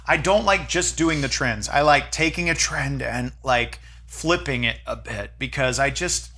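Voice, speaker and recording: Guy hosting a chill chat w his wife, bright voice, jokey and rambly. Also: medium pitch, a little slow. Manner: playful, rambling, joking. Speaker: host. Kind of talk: casual chat.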